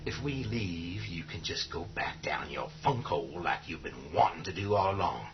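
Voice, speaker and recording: A man's voice: low voice, lively intonation, normal speed, highs cut off, noisy background. An actor playing a tough, short-tempered survivor leader in a horror radio drama, blunt and profane as he barks orders. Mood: angry, scornful. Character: tough, commanding, short-tempered man leading trapped survivors.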